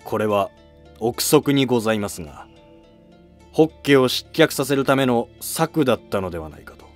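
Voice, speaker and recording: A man's voice: mid-range voice, animated, moderate pace, faint music behind, lightly acted. A man playing an ambitious, scheming Heian courtier with formal, calculating period speech. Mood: deferential.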